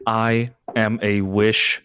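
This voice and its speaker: A man's voice: lowish voice, level tone, extremely slow, a crowd in the background. A man playing a brash, impatient wish-granting spirit with slangy salesman's patter in a comedic reading. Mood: brash, exasperated. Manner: slangy, exasperated dude-speak.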